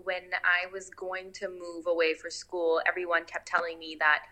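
A woman in her early twenties speaks earnestly in a casual conversation, thinking things through aloud. Her voice is lowish but crisp and bright, with many "like"s scattered through. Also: flat delivery, normal speed, poor audio. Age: 20s. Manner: earnest, thoughtful, uses "like" a lot. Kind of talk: casual reflective chat.

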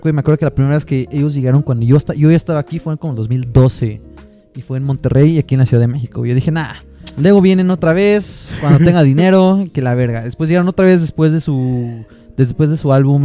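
Mellow-voiced young man from Peru. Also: mid-range voice. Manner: slangy, swears freely, uses local filler words. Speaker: podcast co-host. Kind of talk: casual chat.

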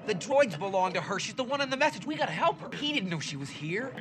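An actor playing an earnest, impulsive young man in a space adventure film, speaking urgently, in film clips under loud music. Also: high-pitched, very animated, fast talker, crowd noise. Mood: pleading. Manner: urgent, pleading. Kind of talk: film acting.

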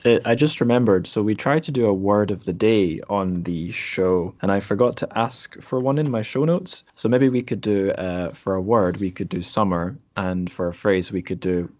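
A man's voice: lowish voice, normal speed, hardly any pauses, darkish tone, no room sound. A man hosting a podcast in a casual, conversational interview style, thoughtful and a little tentative. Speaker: podcast host.

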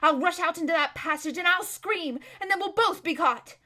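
A woman voicing a brave, impatient, sharp-tongued runaway noble girl in a storybook, speaking with firm urgency. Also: very high-pitched, moderate pace, pitched above the performer's own voice. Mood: fierce, threatening.

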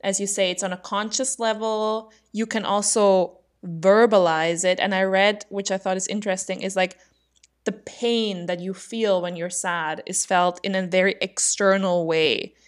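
A woman's voice: mid-range voice, steady tone, moderate pace. A young woman with an Austrian accent, co-hosting a podcast and explaining ideas steadily in casual conversation, thinking aloud as she goes. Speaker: podcast co-host.

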